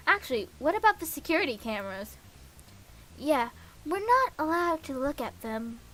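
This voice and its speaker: A woman's voice: high-pitched, fairly bright tone. A woman streaming a game, reading visual novel dialogue aloud in a casual, playful way.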